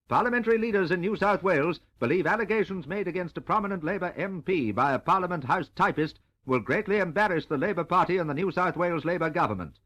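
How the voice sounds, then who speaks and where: A man's voice: high voice, a bit bright. An Australian man reading a scripted 1960s radio news bulletin with dramatic, emphatic delivery, as on a vintage radio recording. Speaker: radio newsreader.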